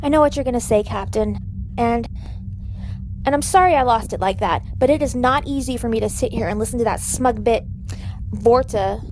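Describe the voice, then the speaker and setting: A woman's voice: on the high side, normal speed, darkish tone, a little hiss or hum, natural voice, poor audio. A woman playing an outspoken, embittered starship doctor in a sci-fi audio drama, blunt and direct. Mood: bitter, upset.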